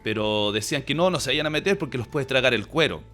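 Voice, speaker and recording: A man with a Chilean accent hosting a casual interview, attentive and quick with short acknowledgements. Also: mid-range voice, expressive, pushed and bright, soft background music. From Valparaíso, Chile. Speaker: interview host.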